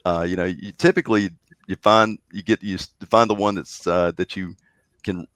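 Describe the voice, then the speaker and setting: A man's voice: sits low, fairly even intonation, moderate pace, a bit bright, no echo. A man guest on a podcast, talking casually with many fillers and restarts. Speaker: guest, contest organizer.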